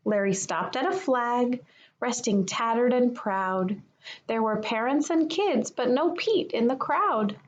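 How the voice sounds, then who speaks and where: A woman, a kindergarten teacher, reading a children's picture book aloud in an expressive manner for young listeners. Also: on the high side, slow, dull audio.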